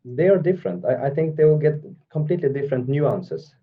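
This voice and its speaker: A man, a guest talking in a casual interview, halting and thoughtful, often restarting his phrases. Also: on the high side, very animated, narrow, tinny audio. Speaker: guest musician. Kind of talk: casual video call interview.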